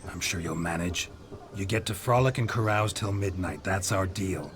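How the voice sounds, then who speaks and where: An actor playing a gruff, dour, world-weary monster hunter in a video game, with terse, dry, deadpan delivery. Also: male voice, low voice, moderate pace. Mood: weary, firm. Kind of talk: video game character acting. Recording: music behind the voice.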